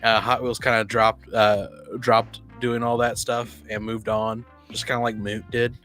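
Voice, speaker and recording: A man's voice: medium pitch, flat delivery, measured pace, a bit bright, faint music behind. A man chatting casually as a podcast panelist, often repeating his first words as he starts a sentence. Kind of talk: casual chat.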